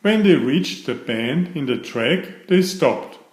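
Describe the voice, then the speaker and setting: Slow, animated male storybook narrator. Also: fairly high pitch, roomy sound. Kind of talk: read-aloud children's story, scripted narration.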